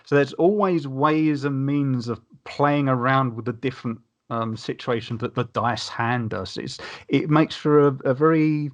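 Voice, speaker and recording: Male panelist, medium pitch, conversational podcast delivery. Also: unhurried, hardly any pauses, lo-fi sound. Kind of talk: casual podcast chat.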